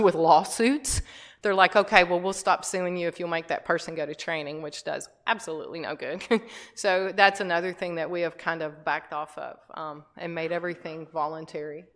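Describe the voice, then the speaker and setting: A woman's voice: on the low side, fairly bright tone. A middle-aged woman giving a conversational talk to a church group, with many fillers and restarts. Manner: conversational, frequent fillers and restarts, laughs lightly. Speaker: guest speaker. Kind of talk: informal talk to a church audience. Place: church hall.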